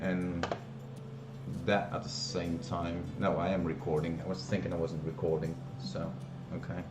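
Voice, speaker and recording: Man, Flemish accent, low voice, halting speech with many fillers, echoey room with music behind. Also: level tone. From Belgium, Flemish part. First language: Dutch. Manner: hesitant, many fillers and restarts. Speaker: streamer. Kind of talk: casual live-stream walkthrough.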